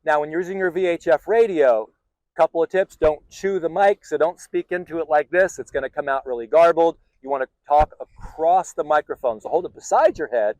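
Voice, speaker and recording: A man with a fairly high voice speaks as a sailing instructor, recorded close and dry. He explains things practically and informally to viewers, sometimes halting to rephrase himself. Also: a little mellow, no room sound, poor audio. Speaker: sailing instructor and channel host.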